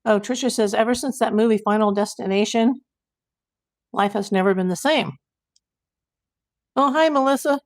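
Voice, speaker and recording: A woman hosting a casual livestream, hoarse and losing her voice, rambling in exasperation while she reads viewers' comments. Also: fairly high pitch, moderate pace, long pauses. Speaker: YouTube livestream host.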